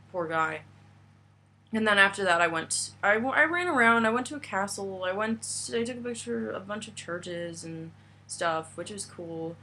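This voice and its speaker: A young woman from the United States chats through a travel story, piling on fillers and restarts. Her voice is bright and a little low, and a faint hum sits behind her. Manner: chatty, rambling with fillers and restarts. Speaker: vlogger. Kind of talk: casual travel vlog chat.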